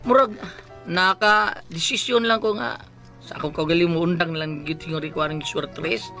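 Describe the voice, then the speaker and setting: A man with a Filipino Cebuano accent playing an excitable, lottery-obsessed taxi-driver husband in a comedic radio drama, exclaiming and muttering to himself. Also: on the high side, moderate pace, faint music behind. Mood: rueful.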